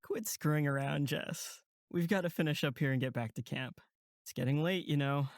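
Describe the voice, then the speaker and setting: A woman's voice: deep voice, moderate pace, no room sound. A woman voicing a protective, dry-humored young male camp counselor in an audio drama, casual and reassuring. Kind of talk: horror audio drama acting.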